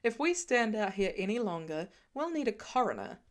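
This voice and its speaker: A woman's voice: medium pitch, big pitch swings, moderate pace, natural voice. A woman voicing a confident, wisecracking grown man who talks in a dry, teasing way. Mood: wry. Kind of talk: audiobook fan reading.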